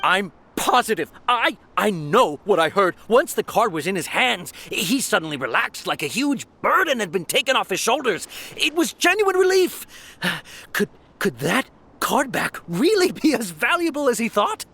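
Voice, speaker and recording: A flustered, high-pitched young guy. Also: normal speed, creaky, breathy edge, exaggerated voice. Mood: insistent, nervous. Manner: stammering, hesitant, excitable. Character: nervous, cornered young man, flustered card trader. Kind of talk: animated video game voice acting.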